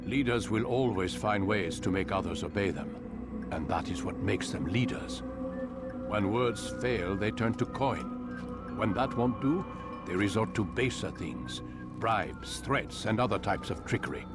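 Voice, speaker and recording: An actor playing a commanding, calculating mentor of medieval assassins in a video game, speaking in a measured, formal way. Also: male voice, lowish voice, lively intonation. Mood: measured, knowing. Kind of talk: video game drama acting. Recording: loud game music under the voice. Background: video game music.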